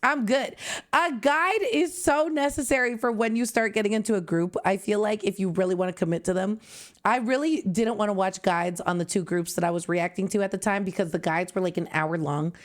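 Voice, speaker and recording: A woman in her twenties from Canada, chatting casually and animatedly as a reaction video host, swooping high and dropping low. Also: a little fast.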